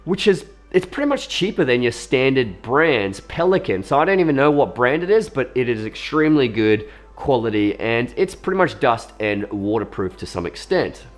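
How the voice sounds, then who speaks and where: A young Australian man talking casually to camera about his camera gear, a little hoarse from a cold, chatty and upbeat. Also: mid-range voice, big pitch swings, moderate pace, hardly any pauses. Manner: chatty, upbeat, with fillers and asides. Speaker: video creator reviewing camera gear.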